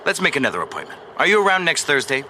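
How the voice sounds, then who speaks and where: An actor playing a pushy, smarmy house buyer with pompous, old-fashioned courtesy in an audio drama. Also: male voice, a little high, huge pitch swings, racing, bright, forceful tone, a little hiss or hum, heavily acted. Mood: smarmy, insistent. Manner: pompous, oily, insistent.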